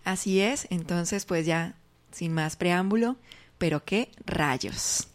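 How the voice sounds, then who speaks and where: A woman's voice: fairly low pitch, slow pace. A woman with a Colombian accent speaking conversationally as a radio drama director being interviewed.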